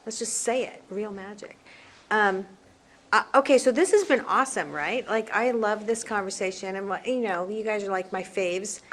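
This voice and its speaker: A woman's voice: medium pitch, normal speed. A woman moderating a panel casually, with an informal, breezy, slangy and effusive way of talking. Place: live panel event. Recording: faint room noise of live event.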